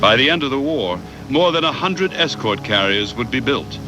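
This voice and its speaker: A man reads scripted documentary narration in a measured, factual way. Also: medium pitch, lively intonation, music in the background.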